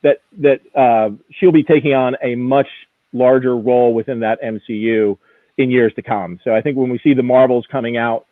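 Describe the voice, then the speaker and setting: A man's voice: medium pitch, steady tone, moderate pace, no echo. A man giving a conversational, enthusiastic expert commentary as he previews collectible items on a live show. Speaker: auction house executive as guest expert. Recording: narrow, phone-like radio-style sound.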